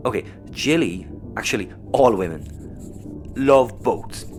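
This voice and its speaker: A man's voice: on the low side, expressive, unhurried, soft background music. A man playing a scheming, sarcastic Irish spacer and protective brother in a sci-fi audio comedy. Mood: scheming, animated.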